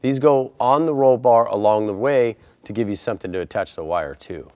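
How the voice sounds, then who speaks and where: A man's voice: medium pitch, normal speed, darkish tone. A man presenting an installation tutorial in a methodical, plainspoken way, explaining each step in turn. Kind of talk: step-by-step instructional narration.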